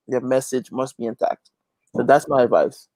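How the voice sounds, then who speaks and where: A man's voice: mid-range voice, normal speed, a little mellow, dry recording, low-quality recording. A man talking casually as an interview guest, preaching a little and repeating phrases for emphasis. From Guyana. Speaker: interview guest, gospel reggae artist and youth pastor.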